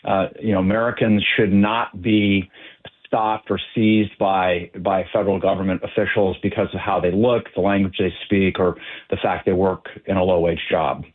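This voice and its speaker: Male legal guest by phone; low, deliberate, hesitant delivery, thin phone-line sound. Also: little pitch movement. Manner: measured, explanatory, halting with many fillers. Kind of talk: phone-in news interview. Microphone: telephone.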